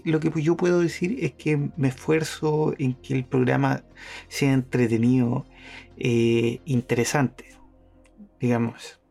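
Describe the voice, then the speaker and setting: A man's voice: mid-range voice, gravelly, darkish tone. A man hosting a podcast, talking through his thoughts in a casual monologue, hesitant, with many fillers and restarts. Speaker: podcast host.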